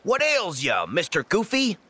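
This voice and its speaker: A woman voicing a cheerful, eager teenage boy adventurer in a video game, excitable and playful. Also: on the low side, unhurried, full character voice. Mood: mock-commanding. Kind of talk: video game cutscene acting.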